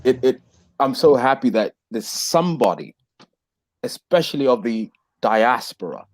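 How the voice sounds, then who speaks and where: A man's voice: mid-range voice, big pitch swings, unhurried, no echo. A man in his thirties joining a casual panel discussion over a video call, earnest and formal, often calling others sir. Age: 30s. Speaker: panel guest.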